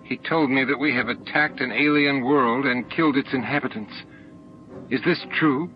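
A man's voice: medium pitch, normal speed, a little hiss or hum, pitched above the performer's own voice, lightly acted. A man voicing an emotional, accusing female alien in an audiobook. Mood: anxious. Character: anguished, furious female alien with a shell. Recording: dull lo-fi audiobook tape recording.

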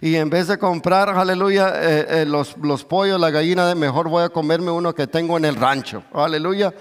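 A middle-aged man preaching in Spanish, with as his language, who peppers his sermon with hallelujahs, calls for amen, and swells toward near shouts. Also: on the high side, moderate pace, smooth. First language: English. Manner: moves about and gestures on stage, voice swells to near shouts. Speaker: pastor. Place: large church stage. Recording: long reverb of a big church hall.

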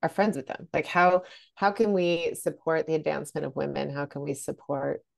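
A woman's voice: deep voice, level tone, normal speed, creaky. A middle-aged woman co-hosting a podcast over a video call, talking in a chatty way with lots of fillers. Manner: chatty, many fillers like "like" and "you know". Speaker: podcast co-host.

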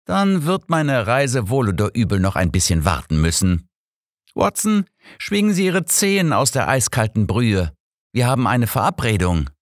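A man voicing an old gentleman detective, formal, brisk and commanding, in an audiobook. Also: mid-range voice, big pitch swings, no room sound, studio quality, voice pushed up, close to an everyday voice. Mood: resigned, brisk. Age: older.

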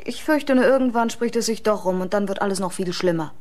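A woman's voice: mid-range voice, fast talker, darkish tone, close to an everyday voice. An actress playing a worried, hesitant woman in a German radio crime play. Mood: anxious. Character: worried woman fearing a secret will spread.

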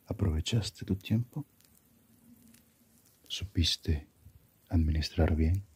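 A man voicing Death as a calm, philosophical figure in a slow ASMR roleplay, hushed, breathy and half-whispered, musing gently. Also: deep voice, heavily acted. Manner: unhurried, musing, asks reflective questions.

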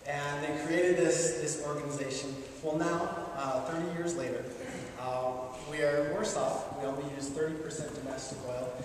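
Candidate in an echoey gym. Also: male voice, on the high side, fairly even intonation, recorded from a distance, a little hiss or hum. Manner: measured, hesitant, many fillers and restarts. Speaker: legislative candidate at a party forum. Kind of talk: answering questions at a candidate forum. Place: large school gymnasium. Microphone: handheld mic, heard from far.

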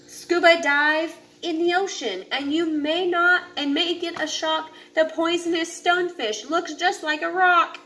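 A woman with a high voice reads a children's picture book aloud at an unhurried, slow pace. Her delivery is deliberate and instructive. It is a home recording with faint background noise. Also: steady tone, bright, forceful tone. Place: home living area with kitchen, indoors. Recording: noisy home recording, room ambience.

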